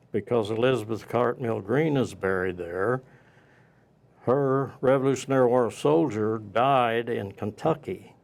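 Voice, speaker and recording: Slow-spoken man recounting local history. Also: medium pitch, gravelly, a little mellow. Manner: halting, pauses mid-sentence. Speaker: local historian, interview guest.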